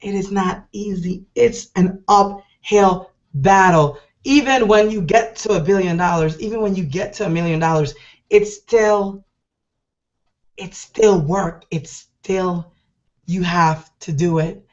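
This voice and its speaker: A middle-aged woman hosting an online show, hoarse and deep-voiced from a cold, talking in a chatty, rambling, enthusiastic way. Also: takes it slowly, dull audio. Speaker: host. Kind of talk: casual online show talk.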